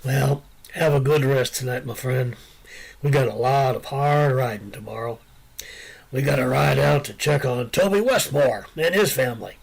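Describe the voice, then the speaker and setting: A man's voice: medium pitch, gravelly, husky, low-quality recording. A man playing an easygoing, folksy Old West sheriff with a drawling cowboy way of talking in a radio western. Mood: gentle, affectionate. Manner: folksy drawl, chuckling, unhurried cowboy talk. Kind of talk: radio western drama acting.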